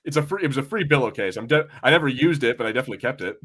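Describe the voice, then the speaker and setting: A man's voice: mid-range voice, animated, racing, fairly bright tone. A man co-hosting a podcast, chatting casually and wryly with rambling, sweary commentary. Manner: rambling, profane, wry. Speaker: podcast co-host. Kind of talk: casual watch-party banter and commentary.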